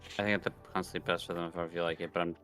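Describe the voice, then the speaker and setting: A man's voice: low voice, flat delivery, racing, fairly bright tone, clear, resonant tone, soft background music. A man bantering casually on a livestream in a joking, teasing manner. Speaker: streamer's friend and co-player.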